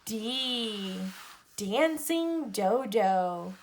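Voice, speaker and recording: A woman reading a picture book aloud to children and teaching the alphabet, with deliberate pauses between phrases. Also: fairly high pitch, expressive, slight background noise, low-quality recording. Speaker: read-aloud storyteller for children.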